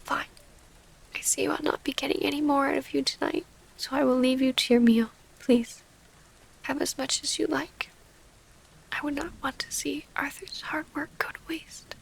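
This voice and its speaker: Woman acting a soft-whispering, refined female vampire. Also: high-pitched, fairly even intonation, normal speed, rough recording. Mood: resigned, cool. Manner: soft, breathy half-whisper, formal old-fashioned diction. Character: gentle, formal female vampire noble, wounded but devoted lover. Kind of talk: intimate ASMR roleplay audio drama.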